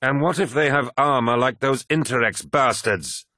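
A man voicing a grim, belligerent warrior captain who speaks in blunt, forceful declarations, in an audiobook style. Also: mid-range voice, moderate pace, dry recording, studio quality, voice pushed up, close to an everyday voice. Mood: combative.

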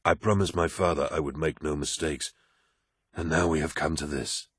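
A man doing a dying warlord, rasping and grave. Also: very deep voice, fairly even intonation, moderate pace, fairly bright tone, no room sound, clean studio sound, exaggerated voice. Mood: weary, grave. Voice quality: rasping, wheezing. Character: dying ancient male warlord, rasping, proud.

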